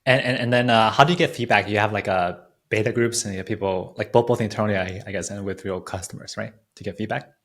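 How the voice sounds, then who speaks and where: A man with a slightly low voice hosts a casual podcast chat. He talks quickly and informally, repeating words as he works his way into questions and comments. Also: a bit bright. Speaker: podcast host, interviewer.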